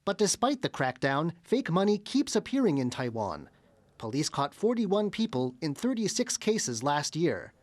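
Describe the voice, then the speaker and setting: A man voicing a scripted news report in a lively, expressive way. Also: a little high, normal speed, dry recording.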